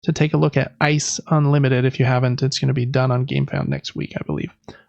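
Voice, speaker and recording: A man's voice: medium pitch, level tone, quick pace, vocal fry, no echo, muffled recording. A man hosting a casual board game podcast, chatting and explaining things in a conversational way. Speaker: podcast host.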